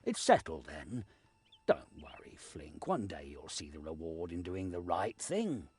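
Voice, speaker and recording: A man playing a shrewd, commanding war leader in a fantasy game, measured and authoritative. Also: low-pitched, sing-song, a little mellow, slightly rough, exaggerated voice. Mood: calm command.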